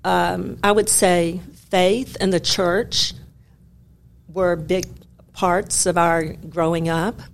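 Low-voiced woman in her sixties from Memphis. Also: little pitch movement, gravelly. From Memphis, Tennessee. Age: 60s. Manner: measured, wry storytelling. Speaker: guest, retired federal judge. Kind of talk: conversational interview.

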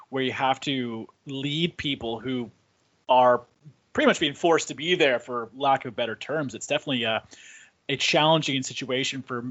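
A man's voice: medium pitch, a bit bright, dull audio. A man hosting a podcast, introducing and interviewing in an enthusiastic, conversational way. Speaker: podcast host. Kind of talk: scripted-sounding podcast intro and interview chat.

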